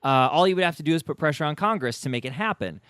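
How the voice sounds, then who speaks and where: A man's voice: on the high side, lively intonation, quick pace, fairly bright tone, clean tone, no room sound, clean studio sound. A man from the United States hosting a conversational interview, speaking in an opinionated, emphatic way. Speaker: podcast host.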